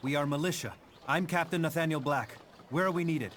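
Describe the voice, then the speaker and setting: An actor playing an earnest, determined young frontier militia captain in a video game cutscene. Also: male voice, on the high side, a little fast, fairly bright tone, a little hiss or hum, close to an everyday voice. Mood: brisk, assertive. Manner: earnest, resolute.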